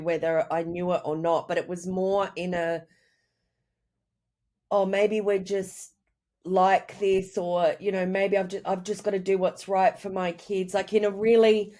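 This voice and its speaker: A woman with a cold, sounding croaky, answering interview questions over a video call in a reflective, rambling way. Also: medium pitch, dark tone. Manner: reflective, rambling with many "you know" and "I guess". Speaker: guest, writer and mother. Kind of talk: candid conversational interview answers.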